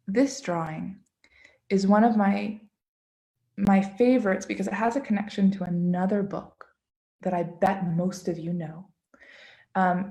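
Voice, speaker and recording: A woman children's book author talking casually and interactively to children on a video call, expressive and encouraging, often asking questions. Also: on the low side, vocal fry. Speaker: children's book author giving a read-aloud.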